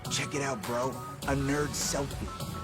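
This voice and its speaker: A man voicing a hot-headed, wisecracking mutant turtle in a video game, with gruff, sarcastic street-tough delivery. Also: mid-range voice, fairly even intonation, measured pace, loud music behind the voice, natural voice. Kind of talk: video game cartoon voice acting.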